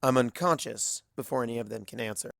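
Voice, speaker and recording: A man narrating a fanfiction story in the first person, in a measured, storytelling way. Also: medium pitch, creaky, fairly bright tone, no room sound, clean studio sound. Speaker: audiobook reader.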